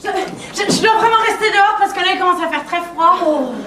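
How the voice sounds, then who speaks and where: A woman's voice: very high voice, fast talker, a crowd in the background. An actress playing a scatterbrained, chatty woman of the household in a theatrical French comedy, airy and absent-minded. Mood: airy, distracted. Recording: echoey stage, distant mic, faint noise.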